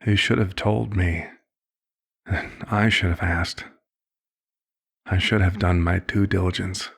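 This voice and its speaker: A man playing a concerned, paternal vampire doctor in an ASMR roleplay, speaking formally and deliberately in a husky voice. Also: lowish voice. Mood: troubled, questioning.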